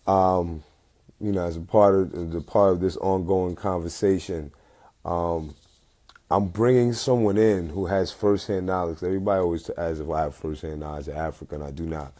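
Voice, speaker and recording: A man with a very low voice hosts a casual live talk show, talking into his phone. He rambles loosely, full of fillers and restarts. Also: steady tone, normal speed, warm tone. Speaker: host.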